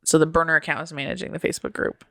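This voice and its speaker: A woman's voice: low-pitched, little pitch movement, racing, fairly bright tone, no echo, studio quality. A woman co-hosting a podcast, chatting casually with blunt remarks and free swearing. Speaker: podcast co-host. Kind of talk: casual chat.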